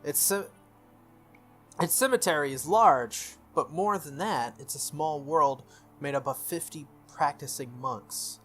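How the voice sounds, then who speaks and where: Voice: medium pitch, measured pace. Casual visual novel read-aloud over music. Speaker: video game playthrough host.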